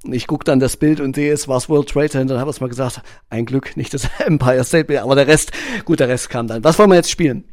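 Male radio host, relaxed, anecdotal, medium pitch, dry studio. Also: quick pace, no echo. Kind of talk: casual radio interview.

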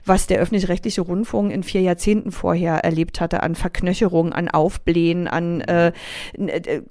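A woman's voice: lowish voice, steady tone, normal speed, darkish tone, no room sound, clean studio sound. A middle-aged woman from East Berlin with a Berlin accent, talking candidly as a guest, with many fillers and restarts. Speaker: guest, journalist. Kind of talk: casual interview chat.

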